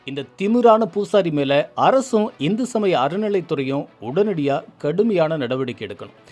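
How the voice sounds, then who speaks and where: A man presenting scripted social commentary in an emphatic, indignant manner full of rhetorical questions. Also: a little high.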